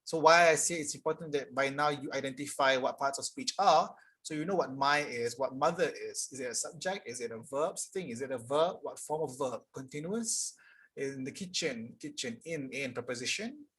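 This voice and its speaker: A young man teaching an English grammar lesson live online, explaining casually and reading sentences aloud slowly. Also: mid-range voice, lively intonation, fairly bright tone, breathy edge. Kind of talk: live online tutorial reading chat answers.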